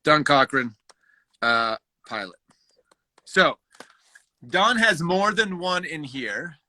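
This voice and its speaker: A man hosting a live raffle in casual livestream chat, haltingly thinking aloud as he handles each task. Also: fairly high pitch, lively intonation, bright, forceful tone, dry recording. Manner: halting, thinking aloud while doing tasks.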